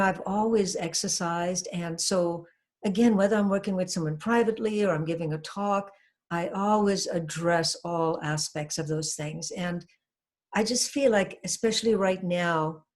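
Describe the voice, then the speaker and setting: A woman's voice: on the low side, moderate pace, a little mellow. Reflective older woman from Trinidad. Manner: earnest, reflective, with frequent "you know" and "um". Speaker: guest, hypnotherapist. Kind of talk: casual interview talk.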